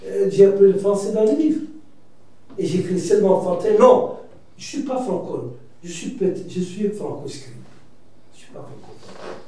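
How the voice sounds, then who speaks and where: A Mauritanian writer speaking reflectively as a debate guest, pausing and restarting often. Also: male voice, high-pitched, expressive, mellow. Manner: reflective, hesitant, many restarts and fillers. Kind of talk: debate discussion. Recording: echoey room from far off.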